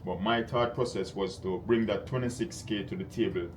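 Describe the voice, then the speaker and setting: A man's voice: on the low side, steady tone, moderate pace. A man on a sales call as an investor, talking in a direct, probing, conversational way and explaining his thinking. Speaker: real estate investor cold calling. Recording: clear background noise on call recording.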